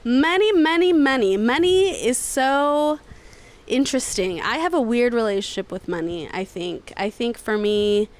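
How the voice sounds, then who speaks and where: A woman co-hosting a casual podcast, chatty and emphatic, quick to laugh. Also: a little high. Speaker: podcast co-host. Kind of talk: casual chat. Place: indoors, seated smoking.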